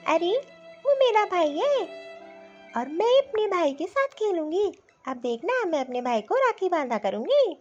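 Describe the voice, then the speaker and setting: A stubborn little girl from a cartoon, acted by a woman. Her squeaky voice swings up and down as she insists possessively. The sound is slightly dull, with music behind. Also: very animated, unhurried, darkish tone, heavily acted. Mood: possessive defiance. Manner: bratty, insistent. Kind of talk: animated Hindi family drama dialogue.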